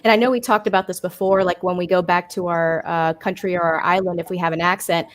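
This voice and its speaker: A woman from Puerto Rico with an American accent, speaking softly and calmly as a panel guest, with many hesitant fillers. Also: lowish voice, fast.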